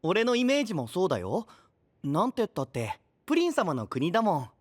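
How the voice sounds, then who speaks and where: Upbeat young anime knight, casual and friendly, very animated delivery. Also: male voice, high-pitched, a little fast, no room sound, studio quality, exaggerated voice. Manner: breezy, casual, friendly slangy talk. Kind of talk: anime-style audio drama acting.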